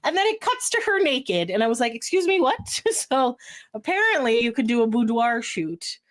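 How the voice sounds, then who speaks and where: A woman in her forties co-hosting a podcast, chatting casually and telling stories with lively acted-out quotes. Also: a little high, normal speed. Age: 40s. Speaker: podcast co-host. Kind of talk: casual chat.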